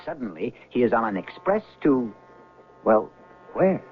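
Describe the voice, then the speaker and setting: A man hosting and narrating a 1970s radio drama, theatrical and wry, posing rhetorical questions with dramatic pauses. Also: medium pitch, very animated, narrow, tinny audio. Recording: thin vintage radio broadcast sound. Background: music.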